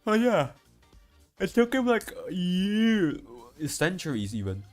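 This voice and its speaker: A man's voice: sits high, very animated, slow pace, a little mellow. A man streaming to a chat, reading game dialogue aloud and commenting in a casual, rambling way, often repeating himself and stumbling. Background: background music.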